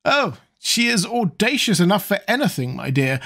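A man's voice: sits high, very animated, moderate pace, sharp tone, voice pushed up, exaggerated voice. A talkative elderly hostess with a raised, fussy voice and a sing-song lilt, full of exclamations, as a male reader puts her on. She scolds and teases her guests in a storybook dinner scene. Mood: incredulous. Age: older.

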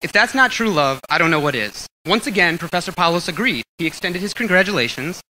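A man in his thirties reading a humorous wedding toast from paper in a dry, deadpan way, in a large banquet hall. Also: high voice, brisk, slight background noise. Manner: dry, deadpan delivery over audience laughter. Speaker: wedding toast giver, friend of the groom. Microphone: handheld mic held low. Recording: roomy hall from a distant camera with crowd. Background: wedding guests laughing.

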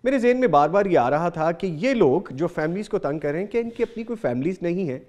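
A man's voice: sits high, expressive, fast, darkish tone. A man in his thirties hosting a religious morning show in a TV studio, speaking in an earnest, formal and courteous way. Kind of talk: TV show presenting. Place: TV studio set.

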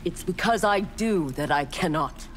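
A woman's voice: on the low side, expressive, a little slow, a little hiss or hum. A woman voicing a proud, ruthless young female ninja warrior in a video game, speaking in a cold, haughty, clipped way.

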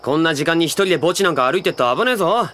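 Low-voiced brash delinquent boy. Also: expressive, racing, fairly bright tone. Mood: gruff, casual. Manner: blunt, coarse, slangy. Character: rough, gruff teenage boy delinquent, brash but casual. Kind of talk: Japanese anime audio drama acting.

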